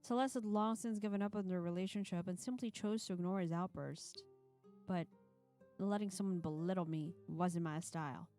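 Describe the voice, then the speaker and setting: A woman's voice: fairly low pitch, normal speed, dark tone. A woman on a live stream, sounding a little tired as she reads a game story aloud and banters with co-streamers. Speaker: streamer reading a visual novel aloud with co-streamers. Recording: music behind the voice.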